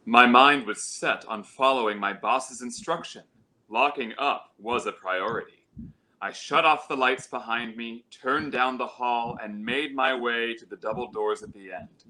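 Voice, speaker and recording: A man reads a scripted ghost story with dramatic delivery. His mid-pitched voice is very bright and forceful, with a sharp edge. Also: a little slow, rough recording.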